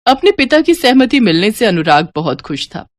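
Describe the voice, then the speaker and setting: A woman's voice: a little high, big pitch swings, normal speed. A woman reading scripted narration as the narrator of a story.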